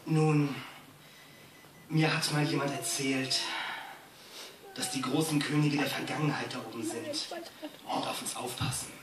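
A man's voice: a little high, animated, measured pace, pauses often. Man playing earnest young lion, far from mic. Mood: hesitant. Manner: hesitant, earnest. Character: unsure, brooding young male lion. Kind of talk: live amateur radio play. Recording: echoey hall, distant handheld phone, noisy.

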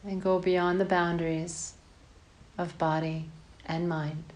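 A woman guides a meditation in a slightly low voice. She speaks very slowly and evenly, with little rise or fall. Her short, calm instructions are separated by pauses. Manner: calm, measured, pausing between short phrases.

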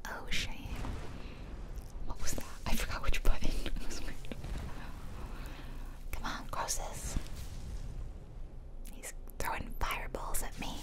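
A woman whispering casual, playful game commentary in a breathy ASMR style, close to the microphone. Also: takes it slowly, long pauses. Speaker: gaming video host. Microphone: close ear-to-ear ASMR microphone.

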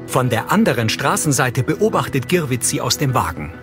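Male narrator over clear music. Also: medium pitch, big pitch swings, normal speed. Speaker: audio description narrator. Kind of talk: scripted narration.

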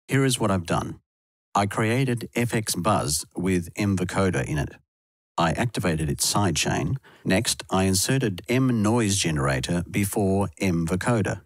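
A deep-voiced man delivers scripted tutorial narration. He explains things in a measured way, step by step. The voice-over is dry and close, with very little room around it. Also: dry recording. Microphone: close voice-over mic, dry studio sound.

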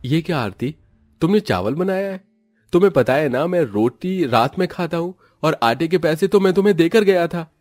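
A man's voice: on the high side, animated, moderate pace, darkish tone, no room sound, studio quality, soft background music, lightly acted. A man voicing a poor, hard-working, proud husband in a cartoon family drama, earnest and aggrieved. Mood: displeased.

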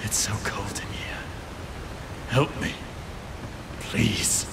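A man's voice: on the low side, steady tone, drawn-out words. An actor playing a despairing, mournful man in a fantasy game, pleading as he speaks. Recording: noisy ambience over the voice.

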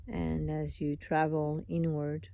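A meditation teacher leading a live guided meditation in an unhurried, soothing manner, in short phrases with long pauses. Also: mid-range voice, monotone, vocal fry, warm tone, dry recording.

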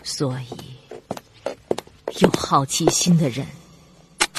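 A woman's voice: low-pitched, takes it slowly, background noise. An actress in a suspense radio drama playing a vengeful, sinister young woman narrating her family's tragedy. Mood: menacing. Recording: music behind the voice.

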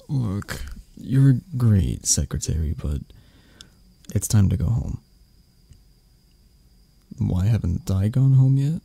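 A man playing an arrogant, grumpy CEO with a hidden anxious side in an ASMR roleplay, curt and sardonic, with a breathy, gravelly voice. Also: fairly low pitch, animated, slow, long pauses, dark tone, no echo, full character voice. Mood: exasperated, grudging concern. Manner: curt, sardonic, stammering when flustered. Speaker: voice actor. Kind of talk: ASMR roleplay audio drama.

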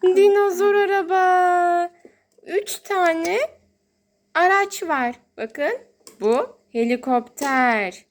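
High-pitched woman, sing-song talk to children. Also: extremely slow. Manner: sing-song, child-directed, exclaiming and drawing out words. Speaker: host, mother playing with her child. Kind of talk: toy-play narration for young children.

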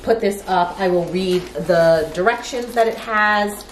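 A woman's voice: mid-range voice, measured pace. A woman in her thirties chatting casually to camera as a lifestyle vlogger, informal and peppered with "like", recorded in a living room. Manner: chatty, informal, frequent "like" as filler. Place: living room of an apartment, indoors. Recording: roomy echo, clear background noise.

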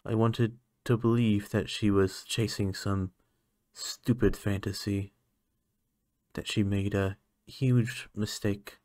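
A man's voice: lowish voice, darkish tone, dry recording, studio quality. A man playing a lonely, earnest alien scientist in an ASMR roleplay monologue, talking in a hesitant, musing way. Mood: wistful, confessional.

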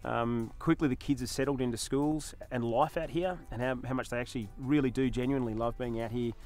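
An Australian man chats casually as an interviewed farmer out on his land. He talks quickly at an ordinary male pitch. Music runs softly beneath his words. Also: fairly even intonation. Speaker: novice farmer being interviewed. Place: outdoors on a farm hillside.

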